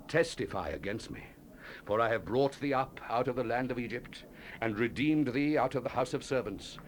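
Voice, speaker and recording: An actor playing a solemn biblical prophet in a dramatized scripture recording, declaiming judgment and lament. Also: male voice, mid-range voice, natural voice. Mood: solemn, pleading. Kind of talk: dramatized scripture reading, 1970s audio drama. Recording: hissy 1970s cassette tape.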